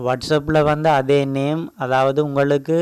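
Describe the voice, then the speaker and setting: A man's voice: medium pitch, little pitch movement, takes it slowly, a little mellow, smooth, no echo. A man hosting a tech channel, explaining a phone tutorial step by step in a casual voice-over with a Tamil accent and a repeated filler word.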